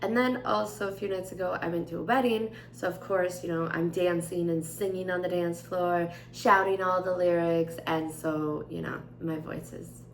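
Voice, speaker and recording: Young woman vlogger, raspy and worn, gravelly low voice, chatty with lots of fillers, echoey home room. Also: steady tone, moderate pace, few pauses, slight background noise. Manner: chatty with frequent fillers, gentle and candid. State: tired, voice strained. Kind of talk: casual chat and journal reading. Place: indoors at home, at a table. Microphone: camera on tripod.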